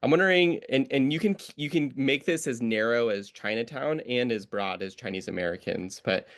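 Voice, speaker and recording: A man's voice: medium pitch, moderate pace. A man hosting an educational history podcast, introducing his guest and asking questions in a friendly, earnest way. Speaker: podcast host.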